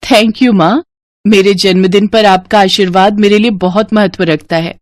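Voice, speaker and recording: A woman's voice: mid-range voice, fairly even intonation, normal speed, no echo, studio quality, lightly acted. A woman voicing a dutiful, eager young village woman in a story. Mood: grateful.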